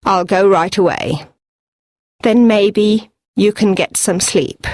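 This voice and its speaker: A woman's voice: medium pitch, slow pace, darkish tone, no room sound, lightly acted. A woman voicing a mild, kindly village policeman in his thirties in a storybook reading. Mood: calm, obliging.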